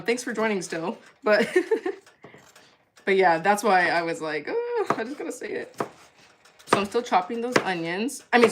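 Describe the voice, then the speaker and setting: A young woman hosts a casual cooking livestream, chatting and laughing as she chops and reads comments aloud. Her voice sits at a middle pitch with a friendly, rambling flow. Light background noise sits under the recording. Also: fairly bright tone. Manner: chatty and giggly, answering viewer comments.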